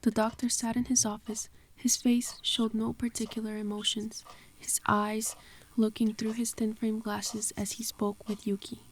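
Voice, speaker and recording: A woman narrating a scripted horror story in a measured storytelling manner. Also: a little high, level tone, a little hiss or hum. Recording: clear music behind the voice. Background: music.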